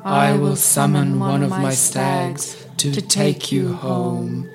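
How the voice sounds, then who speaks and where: A woman's voice: very deep voice, little pitch movement. A woman playing the sun as a tender, solemn godlike guardian in a folktale radio play. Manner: solemn, drawn-out delivery. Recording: music loud behind voice. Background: music.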